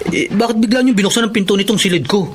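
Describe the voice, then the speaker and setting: A man's voice: high-pitched, rapid-fire, a little hiss or hum, lightly acted. Man acting demanding, bullying young boyfriend. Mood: casual. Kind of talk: radio drama acting.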